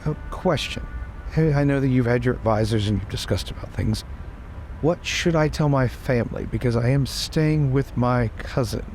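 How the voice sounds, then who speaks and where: A man's voice: mid-range voice, normal speed, a little mellow, a little hiss or hum, lightly acted. A man playing a deferential adventurer lord in improvised tabletop roleplay, polite and hesitant as he talks. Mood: thoughtful. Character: deferential, courteous adventurer lord serving his queen. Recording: faint music behind.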